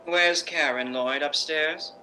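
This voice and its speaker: An imperious middle-aged stage star of a 1950s radio play, acted by a man. The voice is sharp, forceful and fairly high for a male. Every phrase is sardonic and theatrically stretched for bitter effect. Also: slow pace, faint music behind, exaggerated voice, rough recording. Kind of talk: 1950s radio drama acting.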